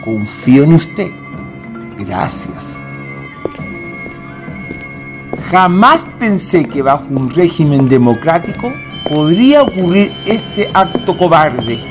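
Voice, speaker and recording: An actor playing a grave, commanding president in a radio drama, speaking formally and deliberately. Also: male voice, fairly high pitch, very animated, music in the background. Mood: indignant, formal.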